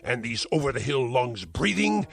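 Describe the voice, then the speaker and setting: An actor playing a spiteful, cruel old uncle who hurls theatrical insults, in a radio-style drama. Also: male voice, medium pitch, sing-song, moderate pace, gravelly. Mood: scornful. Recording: music score behind.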